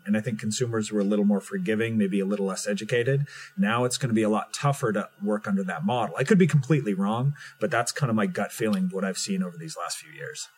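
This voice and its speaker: A man talks in a quick, husky rush, a podcast co-host thinking aloud about an industry trend. His voice sits a little low, and his talk is relaxed and conversational. He keeps going in long, rambling stretches. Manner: fast, talkative, thinking aloud. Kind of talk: casual podcast chat.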